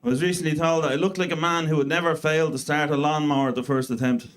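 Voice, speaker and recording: A man in his thirties with an Irish accent, doing a deadpan, self-mocking stand-up set in a comedy club. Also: a little high, quick pace, a little hiss or hum. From Ireland. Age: 30s. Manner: deadpan self-mocking delivery with crude punchlines. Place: comedy club stage before a live audience.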